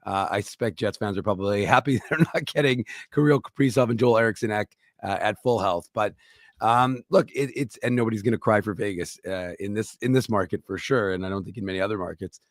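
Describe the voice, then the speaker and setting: A man's voice: lowish voice, a little fast, dry recording, studio quality. A man co-hosting a hockey talk show, giving emphatic, opinionated takes in casual chat.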